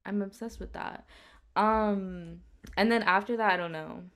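A young woman talks slowly and easily, like a friend catching up. Her speech is loose and casual, full of fillers, with the odd swear word. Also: medium pitch, steady tone. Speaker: podcast co-host. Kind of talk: casual chat.

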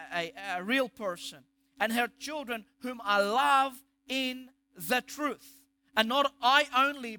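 A man's voice: very high-pitched, pushed and bright. A man with an Egyptian accent preaching a sermon, emphatic, asking rhetorical questions and restarting phrases. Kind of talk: sermon on scripture.

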